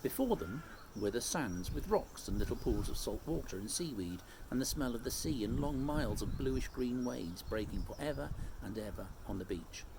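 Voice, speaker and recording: A man reading a children's story aloud like a teacher, in an expressive storytelling style. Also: mid-range voice, normal speed, gravelly, few pauses, background noise.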